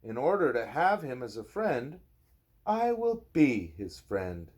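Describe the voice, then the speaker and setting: Man playing a young storybook princess, wistful, determined, earnest, expressive, swooping pitch. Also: takes it slowly.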